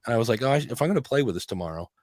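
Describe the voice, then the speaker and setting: A man's voice: medium pitch, expressive, very fast, a little mellow, dry recording, studio quality. Chatty man rambling about gear. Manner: rambling, restarts and self-quotes. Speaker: podcast host. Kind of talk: casual tech chat. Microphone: studio dynamic mic.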